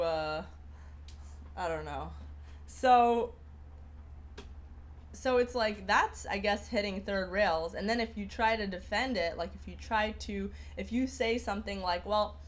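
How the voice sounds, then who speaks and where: A young woman hosting a casual livestream, rambling through viewer comments with lots of fillers and restarts. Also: medium pitch. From Persian heritage. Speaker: livestream host.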